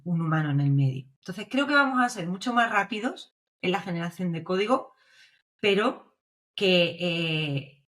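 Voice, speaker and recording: Lower-voiced Spanish woman explaining things. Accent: Spain Spanish. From Navarre, Spain. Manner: explanatory, checks in with frequent tag questions. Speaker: guest, developer advocate. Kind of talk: casual podcast interview.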